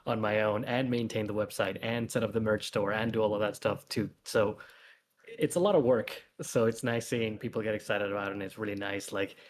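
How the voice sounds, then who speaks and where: A man's voice: lowish voice, steady tone, a little fast, a little hiss or hum. A man chatting casually as a guest comic creator, rambling along in an earnest, self-effacing way. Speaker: guest comic author and artist. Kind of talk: casual livestream chat.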